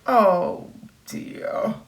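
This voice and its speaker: A woman's voice: medium pitch, wildly swooping pitch, drawn-out words, vocal fry, pitched above the performer's own voice, heavily acted. A woman voicing a polite, hopeful male wolf in a children's storybook, with a hesitant, courteous way of asking. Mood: dejected.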